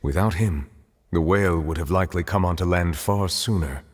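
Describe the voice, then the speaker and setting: A low-voiced, solemn man of high office, formal and measured in every phrase. It is video game drama, with a long reverberant tail and faint noise. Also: slightly rough. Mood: somber. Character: solemn, dignified, formal male judge, calm and grave.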